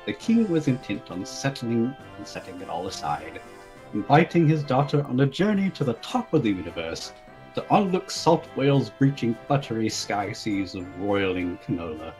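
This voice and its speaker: A man's voice: mid-range voice, big pitch swings, moderate pace, darkish tone, soft background music, rough recording. A man giving a dramatic reading of a comic fantasy story as its narrator. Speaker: story reader.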